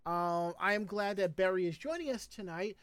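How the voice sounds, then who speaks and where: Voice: medium pitch, expressive, moderate pace, a bit bright, smooth, no echo. A host chatting casually and informally on a live show, talking in a chatty way. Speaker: podcast host.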